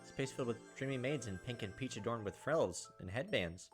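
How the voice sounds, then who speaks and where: A woman's voice: very deep voice, expressive, a little fast. A woman reading a visual novel aloud as gameplay host, with wry, sardonic casual commentary. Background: game music.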